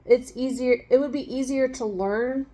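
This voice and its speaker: A woman's voice: sits high, steady tone, moderate pace, warm tone. A woman co-hosting a casual chat, thinking aloud with fillers and laughing easily. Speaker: co-host.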